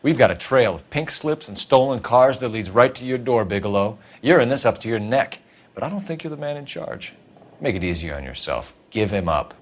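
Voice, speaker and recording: A man's voice: medium pitch, animated, a little fast, lightly acted. An actor playing a stern, driven police detective in a video game, commanding and clipped as he questions and gives orders. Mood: cold, pressing. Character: stern, driven male police detective, hard-nosed and controlled. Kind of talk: cinematic video game acting.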